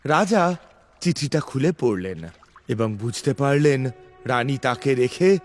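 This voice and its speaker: Animated male fairy-tale narrator. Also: mid-range voice, takes it slowly. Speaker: storyteller narrator. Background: music.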